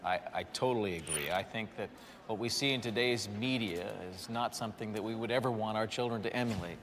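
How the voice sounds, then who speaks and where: Male actor as measured American politician. Lowish voice, formal, earnest, reverberant hall with faint background noise. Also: a bit bright, close to an everyday voice. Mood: earnest, diplomatic. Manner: formal, deliberate, diplomatic. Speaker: senator. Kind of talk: public address in a game cutscene.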